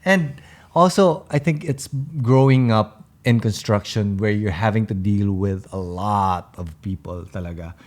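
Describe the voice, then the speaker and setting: A young Filipino man co-hosting a podcast, chatting casually in mixed English and Tagalog with a deep, big, commanding voice. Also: measured pace. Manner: commanding, direct, gestures a lot. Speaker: podcast co-host.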